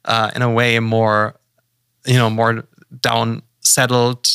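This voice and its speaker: Casting: male podcast guest, gravelly yet smooth, bright, dry close sound, halting delivery. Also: mid-range voice, little pitch movement, no room sound. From Germany. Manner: halting, many fillers and restarts. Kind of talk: casual podcast chat.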